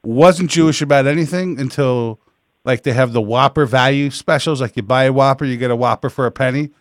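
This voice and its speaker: A man's voice: mid-range voice, no echo, studio quality. Brash joking man hosting a talk show. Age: 40s. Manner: brash, teasing, quick to laugh.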